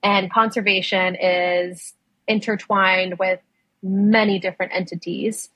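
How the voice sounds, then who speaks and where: Husky-voiced woman, a native Spanish speaker. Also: medium pitch, little pitch movement, measured pace, fairly bright tone, no echo, rough recording. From United States. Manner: enthusiastic, many fillers and restarts. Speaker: ocean conservation director, guest. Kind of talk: conversational interview.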